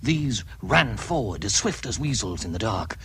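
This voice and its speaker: A man giving measured, dramatic scripted narration of a fantasy tale. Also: on the low side, sing-song, fairly bright tone, a little hiss or hum. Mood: dramatic, tense. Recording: music under the narration. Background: musical score.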